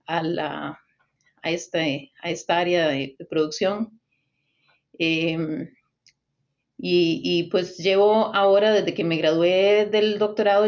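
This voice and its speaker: A woman's voice: fairly low pitch, darkish tone, dull audio. A Costa Rican woman in her forties, a nutrition consultant answering interview questions thoughtfully, with frequent restarts and fillers. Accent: Costa Rican Spanish. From Costa Rica. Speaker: swine nutrition consultant, guest.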